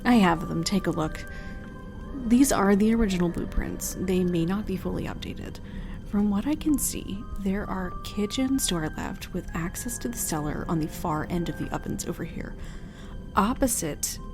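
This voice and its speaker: A woman playing a composed, commanding blood hunter in a horror audio drama, speaking in a measured, businesslike way. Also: medium pitch, wildly swooping pitch. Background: music.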